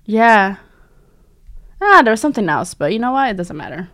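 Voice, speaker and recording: A woman's voice: mid-range voice, very animated, normal speed, a bit bright. A young woman co-hosting a podcast, a native Portuguese speaker, chatting casually and laughing often. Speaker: podcast co-host. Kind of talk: casual chat.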